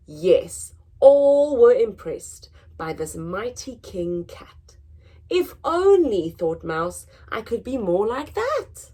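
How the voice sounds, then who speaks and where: A woman reading a children's picture book aloud in a dramatic, expressive storytelling manner, moving between hushed and emphatic delivery. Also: on the high side. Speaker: story time reader.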